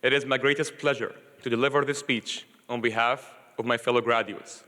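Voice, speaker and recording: Loud, bright-voiced young man. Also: medium pitch, fairly even intonation, fairly quick. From Libya. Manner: loud, earnest delivery. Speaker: graduate speaker. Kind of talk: formal commencement speech. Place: large auditorium. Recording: long reverb of a large auditorium.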